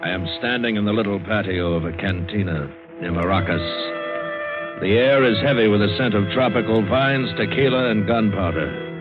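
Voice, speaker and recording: An actor playing a world-weary, hard-boiled ship's mate in an old radio adventure drama, wry, terse and sardonic. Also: male voice, on the low side, moderate pace, over loud music, lightly acted. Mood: grave, tense. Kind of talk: 1940s-50s radio drama narration. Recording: muffled old radio recording.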